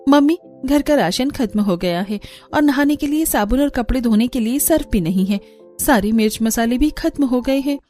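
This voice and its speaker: A woman's voice: a little high, expressive, normal speed, warm tone, soft background music, voice pushed up, lightly acted. A woman voicing an earnest young daughter-in-law from a poor village, worn by the heat, in a cartoon.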